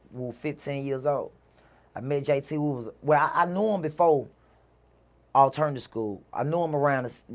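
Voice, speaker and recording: A man with a Mississippi Southern accent telling stories casually as an interview guest, in a slangy, repetitive way peppered with "you know". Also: on the high side. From Mississippi, USA. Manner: slangy, repeats himself, frequent "you know". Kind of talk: casual conversational storytelling.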